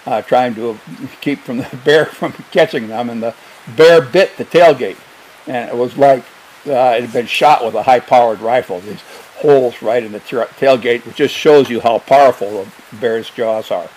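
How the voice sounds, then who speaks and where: Unhurried older man telling stories. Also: mid-range voice, darkish tone, low-quality recording. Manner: unhurried, hesitant, many fillers. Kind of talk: casual storytelling.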